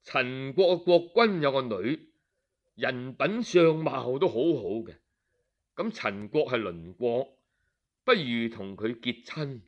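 A man voicing an earnest minister who gives his prince measured, persuasive counsel, in an audiobook tale. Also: medium pitch, lively intonation, lo-fi sound, voice pushed down.

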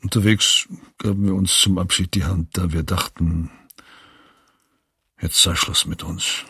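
Condemned prisoner, radio drama. Slow, gravelly, heavy, deliberate survivor testimony, grave throughout. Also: male voice, low-pitched, little pitch movement, sharp tone, no echo, studio quality, full character voice. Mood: grim, resigned. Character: grave condemned male prisoner recalling a death van.

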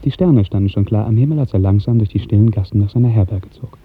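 A man narrating a literary 1950s radio drama in a measured, poetic storytelling manner. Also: fairly low pitch, husky. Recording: muffled narrow 1950s radio recording.

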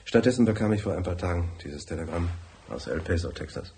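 Deep-voiced, brusque businessman. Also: animated, fast talker, gravelly, mellow, a little hiss or hum. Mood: terse, confiding. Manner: curt, formal, bossy. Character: brusque, tight-fisted, wealthy businessman client. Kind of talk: radio drama acting.

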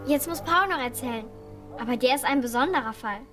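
A woman's voice: sits high, lively intonation, fairly quick, pushed and bright. An actress in a children's radio play voicing a cautious, protective orphan girl who speaks plainly and earnestly. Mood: teasing. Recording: studio radio play with faint music.

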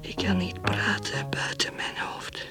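Radio drama: woman plays anguished, lonely drinker thinking aloud, very slow breathy whisper, prominent guitar music. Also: close to an everyday voice. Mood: anguished denial. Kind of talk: radio drama interior monologue. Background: plucked guitar music.

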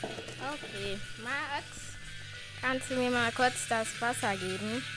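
A woman's voice: on the high side, slow pace, loud music behind the voice, rough recording. A female performer playing a curious young birthday boy in an amateur school radio play.

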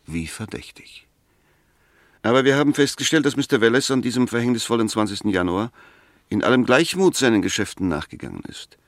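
A man's voice: mid-range voice, lively intonation, a little fast, no room sound, studio quality, lightly acted. A man acting an insistent, courteous but sceptical defence lawyer in a 1960s German radio drama, precise and argumentative. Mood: persuasive. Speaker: actor in a radio play.

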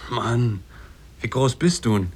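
An actor in a radio play voicing a small, plain-spoken sailor who is teasing and probing but helpful. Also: male voice, mid-range voice, lively intonation, slow pace, darkish tone, husky. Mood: curious, matter-of-fact.

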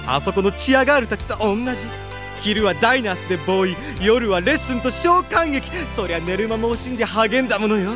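A flamboyant middle-aged male stage teacher with campy, feminine phrasing. The pitch swoops theatrically between hushed pauses and grand swells, in audio drama style over faint music. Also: lively intonation. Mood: wistful, grand. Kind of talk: anime audio drama acting.

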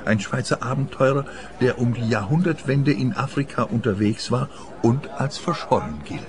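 A man's voice: mid-range voice, lively intonation, normal speed, crowd noise, close to an everyday voice. An actor playing a genteel, frail man in his seventies, chatty and superstitious, in radio play dialogue. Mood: solemn, earnest. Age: 70s. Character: contented, frail seventy-year-old pensioner, superstitious and plaintive.

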